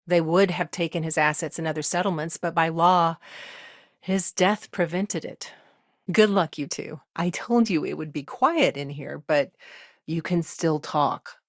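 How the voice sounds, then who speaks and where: An earnest, dry-witted woman lawyer from a frontier settlement. Her voice sits slightly low, and she talks in a measured, naturalistic, matter-of-fact way. The sound is very dry, with no room on it. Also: dry recording. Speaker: video game character voice. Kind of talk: naturalistic video game dialogue acting.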